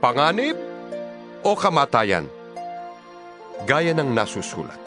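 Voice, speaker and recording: A man narrates scripture in a dramatized style. His delivery is solemn and declamatory, with animated swings in pitch. Clear music plays along behind his voice. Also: takes it slowly.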